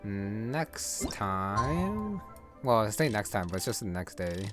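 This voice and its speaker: A man thinking aloud over gameplay in a relaxed, musing way, his voice sitting low. Game music plays clearly behind him. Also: slow. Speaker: gaming channel host. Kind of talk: casual gameplay commentary.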